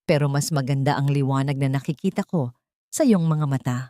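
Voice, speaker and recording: A woman narrator voicing a warm, admiring man, the devoted love interest in a romantic story. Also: extremely low pitch, fairly quick, no room sound, voice pushed down. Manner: tender, admiring.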